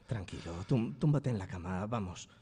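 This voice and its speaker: An actor playing a steady, soothing friend in a radio drama. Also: male voice, on the low side, moderate pace, slightly rough. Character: soothing, steady male friend consoling a troubled man. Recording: reverberant radio drama, roomy sound.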